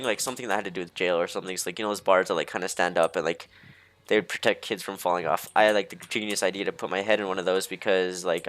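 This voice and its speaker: A young man with a slightly low voice tells personal stories casually. He talks in a loose, halting way, doubling back and losing his thread, with "like" scattered through his sentences. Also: fairly bright tone. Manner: hesitant, halting, easily distracted, heavy use of "like". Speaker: storyteller. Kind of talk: casual rambling storytime.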